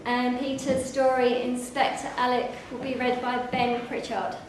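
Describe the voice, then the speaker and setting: A woman's voice: high voice, flat delivery, unhurried. Woman mc doing a scripted intro at a story night, super echoey, noisy recording. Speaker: event host introducing a reader. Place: theatre venue, indoors. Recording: echoey noisy hall, live event.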